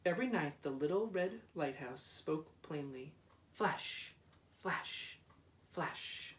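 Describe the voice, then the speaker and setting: A man gives an expressive read-aloud of a children's story. His pace is very unhurried and deliberate, with drama in the phrasing. The sound is echoey and picked up from some distance. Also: on the high side. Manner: deliberate, dramatic, drawn-out repeated words. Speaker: school principal reading aloud. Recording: roomy echo, distant microphone.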